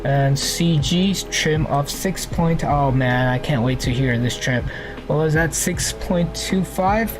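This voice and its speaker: Man, flight sim streamer, casual live-stream talk, thinks out loud, greets viewers warmly, clear background music. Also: on the high side, slow pace, rough recording. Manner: chatty, thinks aloud while setting up the cockpit. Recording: music playing under the voice.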